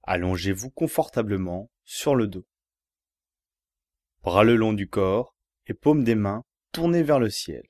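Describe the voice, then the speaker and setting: A man giving guided yoga relaxation instructions in a measured, repetitive, step-by-step manner. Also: medium pitch, darkish tone, no room sound, clean studio sound.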